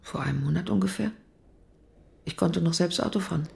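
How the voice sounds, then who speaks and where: A dark, soft-edged female voice with heavy creak, as a gravely ill, grieving woman in a radio drama. She is guarded and speaks in a subdued, hesitant way. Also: fairly low pitch, a little fast. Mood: hesitant, confiding. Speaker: actress. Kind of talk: German radio drama dialogue.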